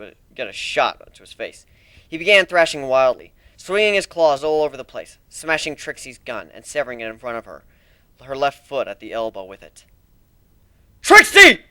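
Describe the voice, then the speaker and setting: A man's voice: medium pitch, a bit bright, clean tone, no room sound. A man giving a dramatic first-person narration of a fantasy action story.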